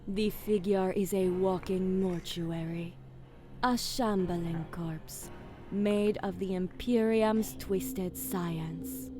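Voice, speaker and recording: A woman playing a grave alien commander in a science fiction audio drama, speaking in a measured, deliberate and dramatic way. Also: mid-range voice, steady tone, mellow, faint music behind, natural voice. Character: alien woman commander, grave narrator, fierce when giving orders. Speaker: narrator and lead character. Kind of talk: dramatic first-person audio drama narration.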